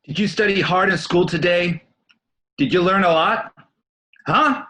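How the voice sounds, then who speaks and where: A man plays a bullying working-class father in an amateur radio drama. His voice is high, sharp and forceful, full of anger as he berates his son. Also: a little slow. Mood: furious, accusing. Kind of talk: amateur radio play acting.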